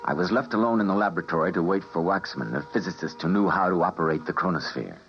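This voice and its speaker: A man playing a weary, somber doctor who also narrates, in a 1950s radio drama recorded lo-fi with loud hiss. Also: low voice. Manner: somber, measured, reflective. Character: grim weary male doctor narrator, middle-aged. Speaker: narrator and lead character. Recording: dull lo-fi old radio recording, hissy.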